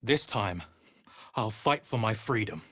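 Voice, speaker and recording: A man's voice: lowish voice, lively intonation, a little slow, bright, forceful tone, husky, heavily acted. An actor playing a grim, weary male vampire spawn in a dramatic video game, with a terse, brooding delivery. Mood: resolute.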